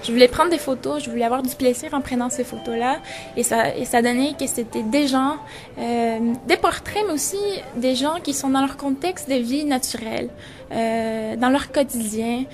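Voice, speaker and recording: A woman's voice: a little high, normal speed, faint music behind. A woman in her twenties from Venezuela, a photographer and journalism student, speaking reflectively in an interview and searching for her words. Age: 20s.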